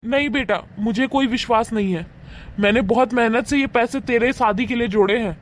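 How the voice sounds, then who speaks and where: A man voicing a poor old village farmer, a caring but wary father, in an animated moral story. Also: very high-pitched, a little fast. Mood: firm, worried.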